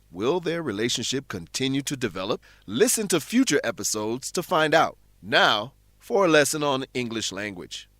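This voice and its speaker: Very animated male educational radio host. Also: mid-range voice, no echo, studio quality. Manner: instructional, explanatory. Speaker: host and English teacher. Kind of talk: scripted narration and vocabulary lesson.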